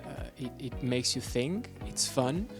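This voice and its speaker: A man's voice: mid-range voice, lively intonation, a little slow. A man who played a game, reflecting on it in an interview while searching for words. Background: background music.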